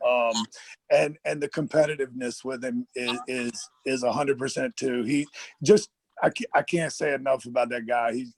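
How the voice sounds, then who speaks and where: A man's voice: mid-range voice, no echo, low-quality recording. A man speaking as an athlete guest in a casual interview, earnest and repeating himself for emphasis.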